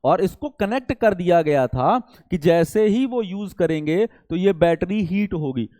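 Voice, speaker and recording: A man's voice: sits high, lively intonation, measured pace, dark tone, no room sound, studio quality. A man lecturing students didactically, repeating key points and posing rhetorical questions. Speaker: exam-prep teacher.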